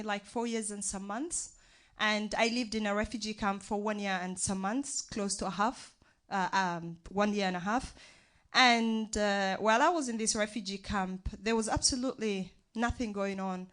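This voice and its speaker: A young woman from Somalia speaks with a Somali accent as a guest activist on a live stage show. She keeps a joking rapport with the audience, in a voice of middling pitch. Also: fairly bright tone. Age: young adult. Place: live art venue with audience.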